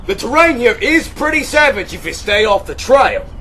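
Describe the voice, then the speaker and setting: A man's voice: very high-pitched, very animated, moderate pace, pushed and bright, slight background noise, exaggerated voice. A man playing a brash, hotheaded, slangy extreme sports show host in a comedic audio play. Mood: cocky.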